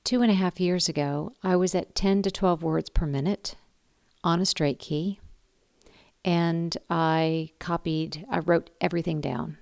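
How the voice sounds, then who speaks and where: A woman with a fairly low voice speaks casually to the camera as a hobby video host. She is earnest and encouraging, stressing her points by repeating them. The sound is dry and close, with little room echo. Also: fairly even intonation, measured pace, gravelly, darkish tone. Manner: earnest, encouraging, emphatic repetition. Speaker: ham radio video host. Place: indoor room downstairs at home.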